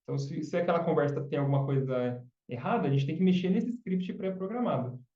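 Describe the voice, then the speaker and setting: A man's voice: a little high, fast. Man explaining from a distance in a roomy space. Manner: explains patiently, often adds tag questions. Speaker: podcast guest, chatbot specialist. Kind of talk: casual explanatory interview talk. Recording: dull, roomy sound from off mic.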